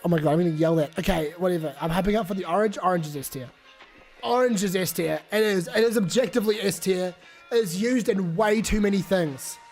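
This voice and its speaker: A man's voice: high voice, brisk, a little mellow, faint music behind. A young man with a New Zealand accent who is sick, rambling and swearing casually on a live stream while reading chat aloud.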